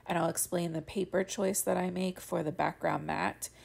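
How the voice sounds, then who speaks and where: Young woman host, low-pitched and heavily gravelly, congested and a bit cracky, chatty voiceover with lots of fillers. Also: fairly even intonation, normal speed, a little mellow. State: stuffy and congested. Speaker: card-making channel host. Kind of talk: casual voiceover of a coloring tutorial. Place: home studio voiceover.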